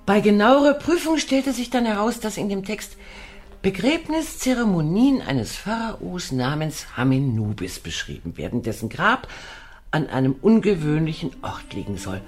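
Thoughtful archaeologist's wife, radio play, takes her time, lots of swing in pitch, faint music. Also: sing-song. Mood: absorbed, recollecting. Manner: deliberate, explanatory. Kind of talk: German radio drama acting.

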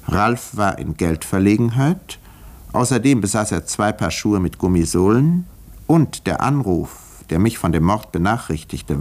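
An actor playing an observant doctor who narrates a murder case in a measured, dryly ironic way, in the style of 1950s German radio drama. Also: male voice, low voice, darkish tone. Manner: measured, literary, dryly wry storytelling.

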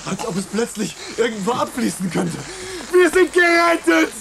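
An actress playing a frightened, excitable person caught in rising water, shrill and breathless, in a radio play. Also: female voice, vocal fry, crowd noise. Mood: excited, relieved. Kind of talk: German radio play acting. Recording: noisy radio drama with rushing water.

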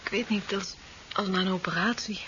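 A slightly noisy, dull old radio recording of a woman acting a young doctor. Her voice is mid-pitched, earnest and easily shaken, in a science fiction radio play. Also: fairly even intonation, a little fast, a bit bright, close to an everyday voice. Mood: weak, ashamed. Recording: dull 1970s radio recording, faint noise.

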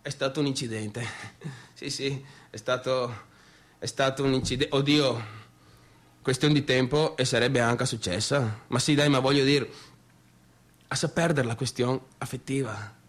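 An actor playing a brash, unsettled only son with a Venetian accent, talking colloquially and repetitively straight to the audience in a radio drama. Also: male voice, medium pitch, fairly even intonation, unhurried, long pauses, natural voice. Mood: defensive, confiding. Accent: Venetian, northeastern Italian. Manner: colloquial, repetitive, swinging between clowning and defensiveness. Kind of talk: theatrical radio-drama monologue addressed to the audience.

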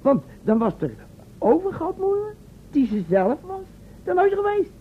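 A man's voice: very high voice, big pitch swings, measured pace, exaggerated voice. An actor playing a nervous, reluctant, naive helper in a radio play, hesitant and rambling as he protests. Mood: puzzled, musing. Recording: muffled, phone-like old radio recording, faint noise.

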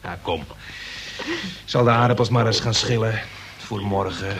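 A man's voice: fairly low pitch, unhurried, a crowd in the background, natural voice. A man playing a nervous but cheeky grown son, a municipal clerk, with wry wording in a Dutch radio play. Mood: relieved. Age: adult. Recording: noisy old radio play recording.

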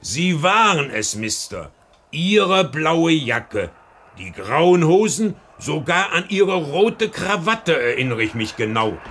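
An actor playing a chatty old lighthouse keeper in a radio crime drama, with a folksy, halting way of talking. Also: male voice, a little high, sing-song, a bit bright, breathy edge, heavily acted. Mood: insistent. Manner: folksy, hesitant, repeating words. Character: old lighthouse keeper and seaman, bluff, chatty, whisky-drinking. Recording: old radio play with faint background noise.

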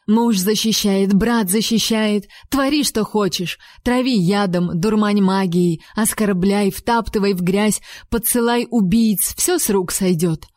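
A woman's voice: medium pitch, normal speed, warm tone, no room sound, clean studio sound, close to an everyday voice. A woman reading an audiobook, voicing a proud, fiery young woman who hurls heated, emphatic accusations. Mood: indignant. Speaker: heroine voiced by the narrator.

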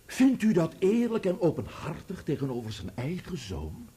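An actor playing a sharp, insinuating barrister who questions pointedly in a courtroom radio play. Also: male voice, a little high, wildly swooping pitch, normal speed. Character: sharp, insinuating male cross-examining barrister.

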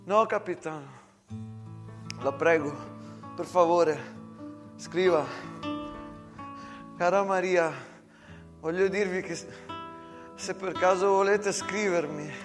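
A man's voice: high-pitched, music in the background. A man playing a frightened, simple-hearted soldier who pleads and stammers. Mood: hurt, pleading. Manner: halting, stammering, repeating words.

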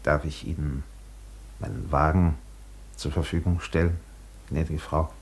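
An actor playing an obsequious, anxious hotel director in a German radio drama, stiffly courteous and deferential. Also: male voice, extremely low pitch, fairly even intonation, measured pace. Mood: subdued, courteous. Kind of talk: German radio play acting.

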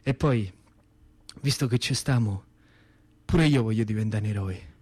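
A man's voice: on the low side, unhurried, darkish tone. A man with a central Italian accent performing a dramatic storytelling monologue in a colloquial, rhythmic, theatrical way. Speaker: actor and storyteller.

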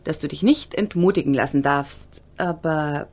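A woman's voice: low voice, normal speed, a little mellow. A woman acting a lawyer's loyal, indignant confidante in a radio crime drama, speaking with earnest emphasis. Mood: indignant, concerned.

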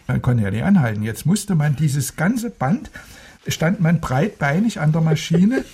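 An older man, a veteran radio engineer with a gravelly, husky voice, reminiscing among colleagues in animated conversation. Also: a little high, measured pace.

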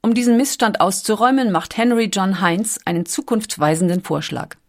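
A woman's voice: mid-range voice, a little fast. A woman reading a scripted documentary audiobook as its narrator. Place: recording studio.

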